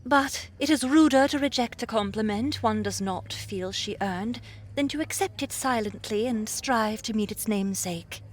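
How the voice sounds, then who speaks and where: A woman's voice: a little high, normal speed, lightly acted. A woman playing a gracious, modest fantasy princess in an audiobook, speaking sweetly and formally. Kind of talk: audiobook character acting.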